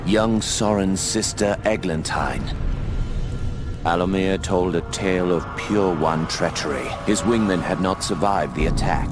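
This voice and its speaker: Brief: an owl warrior narrating a game, low voice, steady storytelling, music under. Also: male voice. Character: older male owl guardian narrating, steady.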